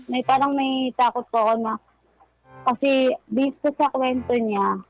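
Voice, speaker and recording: A woman's voice: sits high, a little slow, rough recording. A Filipino woman calling a radio show, politely and deferentially telling her personal story in casual speech. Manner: polite, deferential, uses "po" constantly. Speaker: caller seeking relationship advice. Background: background music.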